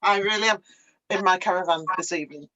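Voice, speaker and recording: A woman's voice: on the low side, fast, bright, forceful tone, no echo, muffled recording. A woman in her sixties hosting a live cookalong over a video call from her caravan, chatty and effusive. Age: 60s. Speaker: host. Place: caravan on a campsite.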